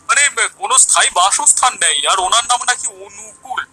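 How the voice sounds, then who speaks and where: A man playing an eager, devout young villager in a cartoon story, earnest and excitable. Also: very high-pitched, fairly quick, creaky, breathy edge, heavily acted, low-quality recording. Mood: eager, informative. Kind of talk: Bengali animated moral story acting.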